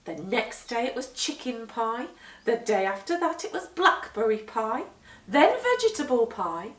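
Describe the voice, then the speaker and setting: A woman reading a children's story aloud with expressive, dramatic emphasis, moving between hushed and swelling delivery. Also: fairly high pitch. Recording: echoey room, distant microphone.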